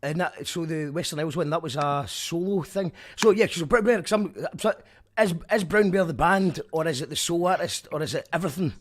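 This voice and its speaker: A Scottish man hosts a casual radio chat with a fairly high voice. He talks fast in a chatty, friendly way. His words tumble out quickly. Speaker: radio show host.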